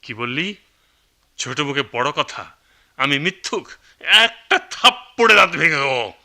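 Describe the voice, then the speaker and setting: A scheming middle-aged uncle in a radio drama. His voice is fairly high and extremely sharp. He is sarcastic, blustering and quick to anger. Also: sing-song, heavily acted. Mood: furious. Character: greedy, deceitful, short-tempered elder uncle. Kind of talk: Bengali radio play acting.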